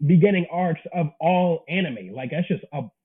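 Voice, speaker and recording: A man's voice: fairly high pitch, lively intonation, moderate pace, breathy edge, phone-quality sound. A man in his early twenties with an American accent, chatting casually as a guest, agreeable and emphatic in his slang. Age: early 20s. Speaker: interview guest, indie manga creator. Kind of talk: casual chat.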